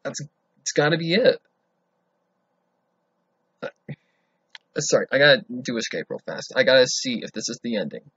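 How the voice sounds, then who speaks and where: A man reacting to a story, excitable and thinking out loud, swearing now and then. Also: medium pitch, normal speed, pauses often, breathy edge, dry recording. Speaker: reaction video host. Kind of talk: casual reaction commentary. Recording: dull lo-fi home recording.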